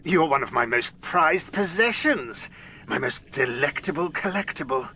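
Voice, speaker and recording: Casting: grandiose demon-lord villain of audio drama, highish sharp voice, animated swoops, oily menace. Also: male voice, a little slow, slight background noise. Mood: oily, possessive. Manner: theatrical, grandiose, oily and scornful. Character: pompous, menacing, possessive fairy king, a demon lord.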